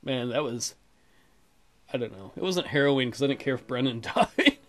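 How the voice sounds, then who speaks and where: Lively woman reading a visual novel. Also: extremely low pitch, normal speed. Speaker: let's play host. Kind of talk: reading a visual novel aloud with joking commentary.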